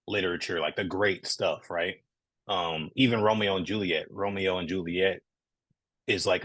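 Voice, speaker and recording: A man's voice: fairly low pitch, creaky, slightly rough. A man from Southern California, a comic creator speaking earnestly in a casual podcast interview, often checking in with "right?".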